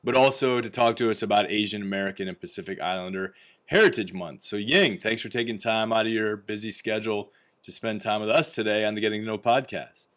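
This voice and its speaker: A man's voice: lowish voice, fairly quick, fairly bright tone. A man hosting an interview podcast, asking questions in an upbeat, encouraging way. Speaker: podcast host.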